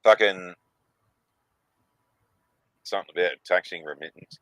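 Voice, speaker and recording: Male co-host, low and forceful, crude humor, dry mic. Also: unhurried, no room sound. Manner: crude, joking, profane. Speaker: podcast co-host. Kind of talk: casual livestream chat.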